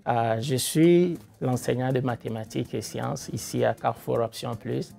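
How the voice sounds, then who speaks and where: A man from Togo who teaches math and science, speaking in an interview with hesitant pauses and fillers. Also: medium pitch, fairly even intonation, vocal fry, mellow, faint music behind. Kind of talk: interview testimony.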